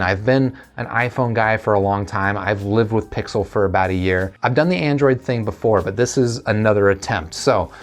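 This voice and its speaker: A man chatting casually through a tech review and unboxing, conversational and thinking aloud as he goes. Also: lowish voice.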